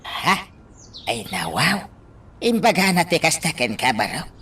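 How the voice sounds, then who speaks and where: A man acting as a loyal, excitable older uncle in an Ilocano radio drama, with an Ilocano accent and frequent exclamations. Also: fairly high pitch, measured pace, slightly rough, heavily acted. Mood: concerned, urging. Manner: exclamatory, sighing interjections. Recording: distant mic, faint background noise.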